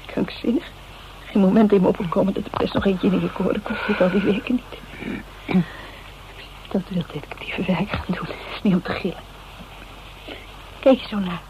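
An actress playing a shaken, grieving secretary in a radio drama, speaking colloquially in halting, broken sentences. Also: female voice, lowish voice, lightly acted. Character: shaken, grieving female secretary holding back tears. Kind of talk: naturalistic radio drama dialogue. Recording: dull, noisy radio drama recording.

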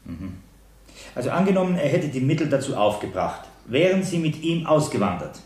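An actor playing a formal, coolly courteous state prosecutor in a radio play, probing a witness in measured legal German. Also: male voice, medium pitch, very animated, darkish tone, natural voice. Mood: coolly insistent. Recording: echoey 1970s radio drama studio.

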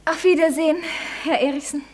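A woman's voice: high voice, steady tone, a little fast, a little mellow, natural voice. An actress playing a playfully melodramatic young lady in a 1960s radio play, with a theatrical manner. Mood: mock tragic.

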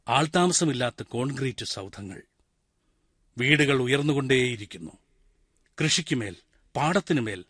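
A man's voice: mid-range voice, husky, no echo, clean studio sound. A wistful retired village postman. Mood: lamenting. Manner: talks straight to the listeners, musing and lamenting. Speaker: actor in a one-man radio play. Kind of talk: 1990s-style radio monologue acting.

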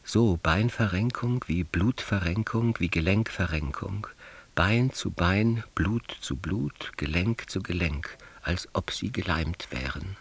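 An actor in a radio drama voicing a solemn man reciting an ancient spell in measured, rhythmic phrases. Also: sits low, husky, heavily acted. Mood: solemn incantation. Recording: long cavernous reverb.

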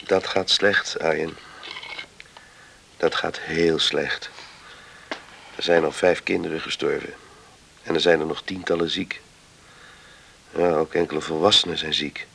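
An actor playing a grave, plain-spoken island man in a radio drama, with a gravelly voice and a solemn, measured manner. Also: a bass voice, fairly even intonation, bright, forceful tone, slight background noise, exaggerated voice.

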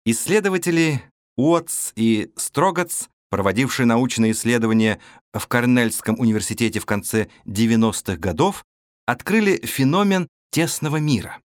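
A man reading a business audiobook as a narrator, in a measured, clearly articulated scripted delivery. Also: medium pitch, no echo, studio quality. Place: recording studio.

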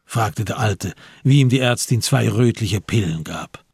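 A man narrating a literary crime story for a radio play in a husky voice, measured and grave. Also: fairly low pitch, lively intonation, darkish tone, no echo, clean studio sound, heavily acted. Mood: sober, hushed. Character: sober male storyteller of a crime tale.

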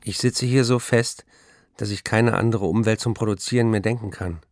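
Male actor for remorseful writer in radio drama, rather low and creaky, reading letters deliberately, noisy background. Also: fairly even intonation, a little mellow, close to an everyday voice. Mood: weary, reluctant. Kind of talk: radio play monologue.

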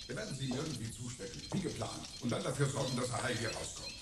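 A man's voice: mid-range voice, animated, quick pace, close to an everyday voice. An actor playing a cowardly, wheedling male saboteur in a German sci-fi crime radio drama, evasive and pleading in manner. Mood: defensive. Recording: echoey, noisy radio play scene.

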